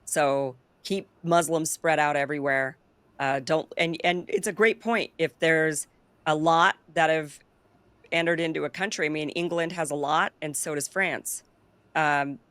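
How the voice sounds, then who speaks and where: A woman's voice: low voice, fairly bright tone, dry recording. A woman hosting a political talk show, chatting in an opinionated, loose way and laughing easily. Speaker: show host. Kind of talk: casual political chat.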